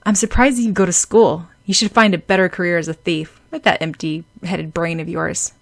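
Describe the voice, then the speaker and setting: A woman voicing a cold, sharp-tongued teenage schoolboy who talks down to others. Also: on the low side, fairly even intonation, normal speed, creaky. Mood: condescending.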